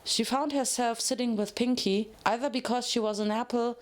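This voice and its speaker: A woman's voice: a little high, steady tone, moderate pace. A woman reading a story aloud as narrator, in a dramatic scripted style.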